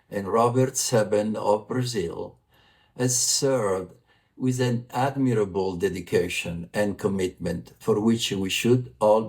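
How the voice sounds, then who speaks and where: Man, Italian accent, formal prerecorded report, slow unhurried pace. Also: fairly low pitch, a little mellow. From Italy. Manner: formal, measured delivery. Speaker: vice president for communications.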